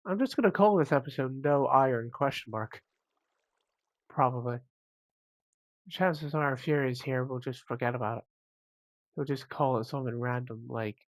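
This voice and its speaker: A man with a cold, sounding croaky and sniffly, rambling casually as he comments on his own gameplay, with self-mocking asides. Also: medium pitch, no room sound. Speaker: gaming channel host.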